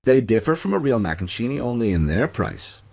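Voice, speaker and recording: An actor playing a smug, suave and evasive violin dealer and smuggler in a radio play. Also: male voice, medium pitch, sing-song, fairly quick, creaky, darkish tone, dry recording. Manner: suave, self-assured explaining.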